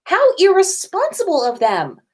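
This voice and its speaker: A woman's voice: extremely high pitch, wildly swooping pitch, normal speed, pitched above the performer's own voice, heavily acted. A woman voicing a sensible, bossy teenage witch girl who speaks earnestly in a story reading. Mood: indignant.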